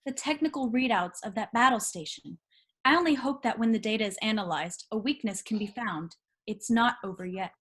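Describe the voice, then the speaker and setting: Brief: resolute young princess played by a woman, fairly high voice, sharp-tongued, earnest, sci-fi table read. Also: a little fast. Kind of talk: virtual script table read.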